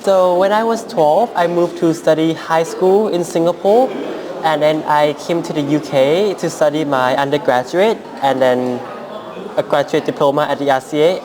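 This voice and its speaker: A woman in her twenties from Thailand, introducing herself in a brisk, plain way. Her voice is very low. Crowd chatter can be clearly heard behind her. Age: 20s. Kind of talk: self-introduction.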